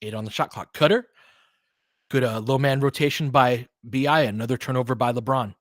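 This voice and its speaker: Male play-by-play host for basketball. Conversational, thinks out loud, breaks down the action, recorded dry. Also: mid-range voice, a little fast, no echo. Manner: conversational, thinking aloud, analytical. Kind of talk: live basketball commentary with casual asides.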